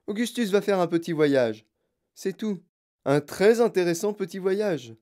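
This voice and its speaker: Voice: mid-range voice, moderate pace, mellow, voice pushed up, lightly acted. A storyteller voicing a flamboyant, gleeful male factory owner, eccentric, emphatic and mischievous. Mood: breezy soothing.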